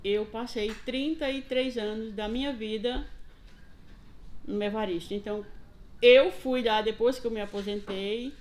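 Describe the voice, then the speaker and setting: A woman's voice: a little high, fairly even intonation, measured pace, darkish tone. Older Northeast Brazilian woman, retired teacher. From Paraíba, Brazil. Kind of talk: casual interview, recounting memories.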